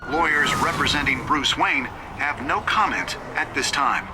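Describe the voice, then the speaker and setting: A man playing a serious television news anchor in a video game, formal and measured. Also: mid-range voice, over music, natural voice.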